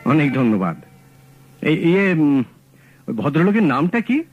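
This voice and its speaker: An actor playing a calm, shrewd male detective in a radio drama, talking in a measured, dry, matter-of-fact way. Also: a little high, slightly rough, narrow, tinny audio, faint music behind, full character voice. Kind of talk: 1970s radio drama acting.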